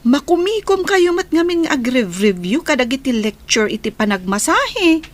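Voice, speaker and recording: A woman's voice: high voice, sing-song, normal speed. A young woman with an Ilocano accent playing a caring but firm daughter in an audio drama. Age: young adult.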